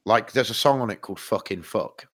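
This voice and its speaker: Very low-voiced man, a bit stuffed up. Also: brisk. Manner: rambling, restarts a lot, swears casually. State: stuffed up with hay fever. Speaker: podcast co-host. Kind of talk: casual music chat.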